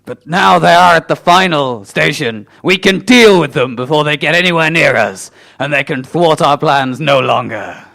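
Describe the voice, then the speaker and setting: A young man acts out a bossy railway villain in a comic radio play. His voice is fairly high and hard-edged, and he plots and gives orders brusquely. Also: expressive, moderate pace, vocal fry, few pauses, bright, forceful tone, heavily acted. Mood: scolding, scheming. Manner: brusque, plotting. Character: bossy, scheming male railway boss.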